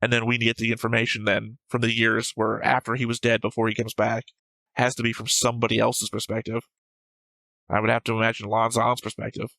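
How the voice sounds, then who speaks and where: A man with a gravelly edge to his voice talks through his reasoning in a casual, analytical chat. He argues his points and explains them in a fairly level delivery. The sound is close and dry. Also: mid-range voice, completely flat delivery, fairly quick, bright, forceful tone, no room sound, rough recording. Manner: argumentative, explanatory. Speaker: host of an anime reaction show.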